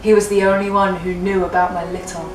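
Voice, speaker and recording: A woman's voice: fairly low pitch, level tone, fairly quick. A tipsy woman reading a story aloud in a theatrical, playfully hammy, giggly way. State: drunk. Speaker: reader of fan fiction for a comedy web show. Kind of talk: jokey dramatic story reading. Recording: echoey room, distant mic, music underneath.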